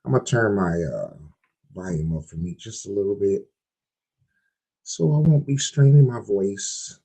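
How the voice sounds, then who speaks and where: A man hosting a live-streamed podcast, his voice hoarse and raspy, opening with loud hype before settling into easygoing, rambling chat. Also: fairly low pitch, lively intonation, unhurried. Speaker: podcast host.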